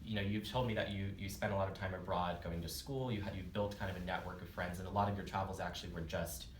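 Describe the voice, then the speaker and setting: A man interviewing a guest, asking quick, rambling questions with many restarts. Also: low voice, steady tone, echoey room, far from the mic, slight background noise, rough recording. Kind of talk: casual interview.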